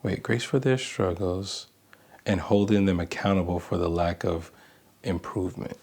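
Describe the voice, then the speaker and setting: A man in his thirties co-hosting a marriage podcast in casual talk, halting and restarting as he searches for words. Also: sits low, steady tone, gravelly, darkish tone, husky. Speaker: podcast co-host, relationship coach. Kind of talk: casual conversational podcast chat.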